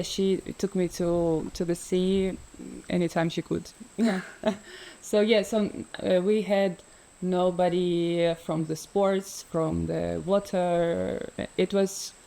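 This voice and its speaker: A woman talks slowly and thoughtfully, pausing often on fillers. Her voice is mellow and soft, and she laughs lightly as she goes. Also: on the low side, creaky, rough recording. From Ukraine. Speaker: freediver guest. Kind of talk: relaxed interview conversation.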